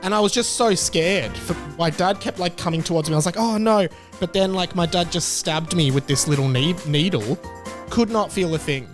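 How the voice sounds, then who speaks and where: A young Australian man hosting a solo podcast, telling family stories with lively acted-out dialogue. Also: sits high, moderate pace, over music. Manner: animated storytelling, acts out family dialogue. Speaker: podcast host.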